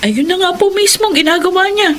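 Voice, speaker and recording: A woman's voice: extremely high pitch, brisk, a bit bright, a little hiss or hum. A Filipino woman playing a worried, nagging young wife and mother in a radio drama, pleading and pressing. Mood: troubled. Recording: background music under the voice.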